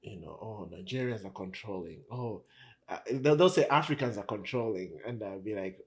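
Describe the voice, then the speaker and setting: A man from Tanzania in casual conversation, relaxed, often repeating words as he thinks. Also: fairly low pitch, normal speed.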